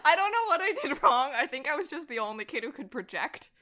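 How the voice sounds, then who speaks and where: A woman's voice: high-pitched, big pitch swings, fast, bright, forceful tone. A woman chatting casually and enthusiastically as a guest on a podcast. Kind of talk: casual chat.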